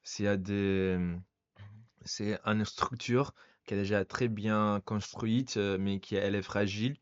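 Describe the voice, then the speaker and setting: A man's voice: lowish voice, steady tone, slow pace, clean tone, no echo, highs cut off. A man speaking French as a second language, chatting casually as a podcast host and stopping often to search for words. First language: not French. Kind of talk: casual conversation.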